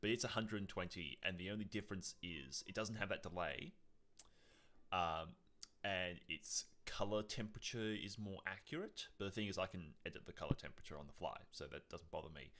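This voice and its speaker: Guy cohosting a podcast, lowish bright voice, jokey and animated, just chatting. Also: a little fast. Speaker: podcast co-host. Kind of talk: casual chat.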